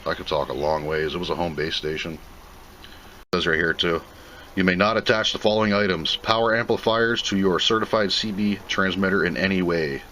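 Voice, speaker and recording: A man's voice: low-pitched, steady tone, normal speed, pushed and bright. A man giving a casual, plain-spoken unboxing commentary, rambling and thinking aloud as he goes. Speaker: video host reviewing a product. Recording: clear background noise.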